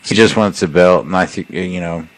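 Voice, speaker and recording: A man's voice: sits low, moderate pace, slight background noise, low-quality recording. A young man in casual podcast chat, explaining things in a laid-back way and calling his friend bro and dude. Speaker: podcast host.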